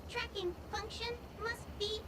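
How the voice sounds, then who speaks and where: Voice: very high voice, steady tone, measured pace, very noisy. A performer voicing a tiny chirpy robot drone in a video game, giving formal, literal system announcements. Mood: mechanical, informative.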